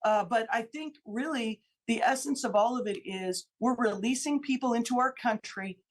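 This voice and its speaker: Female lawmaker guest, from Illinois, on talk radio: forceful, repeats her figures, voice sits a little high. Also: moderate pace. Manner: emphatic, repeats key figures for stress. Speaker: state senator guest. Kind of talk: radio interview, political argument.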